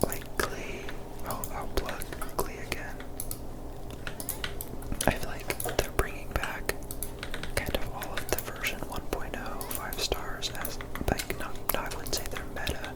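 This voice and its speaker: A person whispering casual, rambling ASMR commentary over video gameplay, in a breathy, unvoiced voice close to the microphone. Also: rough recording. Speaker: video game streamer.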